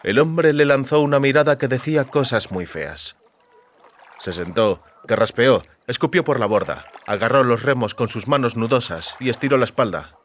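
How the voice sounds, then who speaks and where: A man's voice: medium pitch, moderate pace, slight background noise. A man reading scripted literary fantasy fiction as an audiobook narrator. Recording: clear water and boat ambience behind. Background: lapping water and rowing boat sounds.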